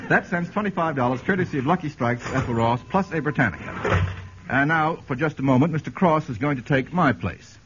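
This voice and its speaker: A man's voice: a little high, fairly quick, a bit bright. A man hosting a radio quiz show in the style of the 1940s. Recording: muffled, scratchy 1940s radio broadcast.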